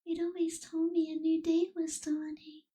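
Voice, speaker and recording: Casting: actress for melancholy young female vampire, ASMR roleplay; very high, mellow, breathy whisper, wistful and hushed. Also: flat delivery. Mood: wistful, tender. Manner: slow, hushed, wistful, gentle pauses. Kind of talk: ASMR roleplay, soft whispered intimate delivery.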